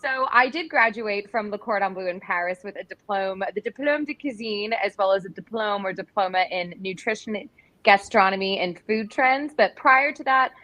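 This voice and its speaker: A woman's voice: mid-range voice, moderate pace, pushed and bright, no echo, rough recording. A woman, a cooking instructor chatting enthusiastically on a live video call. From Texas, USA. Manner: bubbly and enthusiastic. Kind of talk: casual live video chat.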